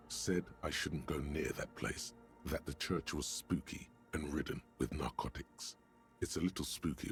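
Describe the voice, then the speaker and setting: Deep gravelly game narrator. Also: male voice. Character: gravelly omniscient male narrator of a detective game. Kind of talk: scripted video game narration.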